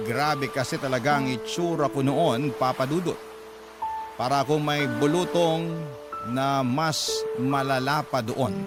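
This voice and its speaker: A male radio host with a slightly high voice. He tells a listener's story in the first person, dramatically, with loud music running behind him. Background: prominent background music.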